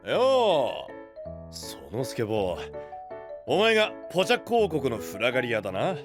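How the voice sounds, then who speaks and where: A man playing a rough, welcoming young gang boss in an anime voice drama, brash and quick to bristle. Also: mid-range voice, wildly swooping pitch. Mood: hearty welcome. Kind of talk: Japanese anime voice drama acting. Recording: music behind the voice.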